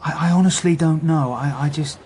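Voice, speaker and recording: An actor playing an earnest, uncertain police detective in a radio drama, hesitant and understated. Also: male voice, a little high, a little fast, darkish tone, a little hiss or hum, close to an everyday voice.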